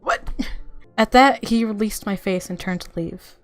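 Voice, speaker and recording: A woman narrating a visual novel aloud as a game streamer, with expressive reactions and music underneath. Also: medium pitch, measured pace.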